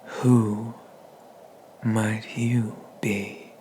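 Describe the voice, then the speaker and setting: A man voicing an ancient, haughty and menacing dragon god of the sea in a breathy, pompous whisper for an ASMR roleplay. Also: fairly low pitch, flat delivery, warm tone, a little hiss or hum. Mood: curious, looming. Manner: slow, pompous, condescending, with drawn-out pauses.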